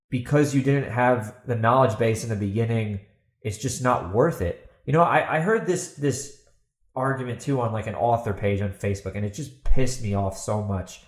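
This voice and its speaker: An echoey indoor recording of a man hosting a solo podcast. His voice sits at a middling pitch, and he argues his opinions bluntly and emphatically, often putting questions and answering them himself. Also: darkish tone. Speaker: podcast host and fantasy author.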